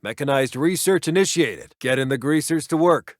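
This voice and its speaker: Actor as a clipped American wartime intelligence officer, dry sound. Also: male voice, on the high side, expressive, normal speed, no room sound, lightly acted. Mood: brisk, businesslike. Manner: clipped, formal military reporting. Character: brisk, dutiful male American army intelligence officer, WWII. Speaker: military announcer reporting to the commander. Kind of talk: scripted video game unit voice lines.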